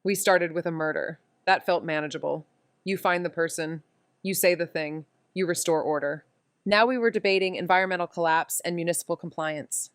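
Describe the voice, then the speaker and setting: Woman acting as amateur sleuth, smooth voice, dry close recording, wry, casual, noir audio drama. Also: lowish voice, a little fast, no room sound, close to an everyday voice. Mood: reflective narration. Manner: casual, sardonic, conversational.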